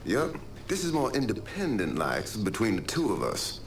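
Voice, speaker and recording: Game informant: chatty male crook, animated, joking, slightly roomy echo. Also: lowish voice, moderate pace. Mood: cocky, amused. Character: cheeky, chatty small-time crook informant. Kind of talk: video game cutscene acting. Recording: roomy echo, faint background noise.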